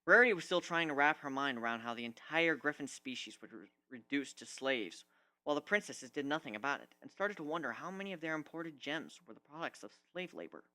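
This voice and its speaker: Animated male story narrator with bright voice. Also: on the high side, brisk, no echo. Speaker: amateur narrator. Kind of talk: dramatic first-person reading of fan fiction.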